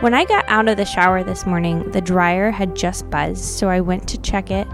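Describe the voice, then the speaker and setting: A woman's voice: fairly low pitch, normal speed, over music. A woman co-hosting a podcast, casually chatting and reading aloud in a chatty way. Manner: chatty, uses like and you know often. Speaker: podcast co-host. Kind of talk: casual chat with dramatic readings of old notes.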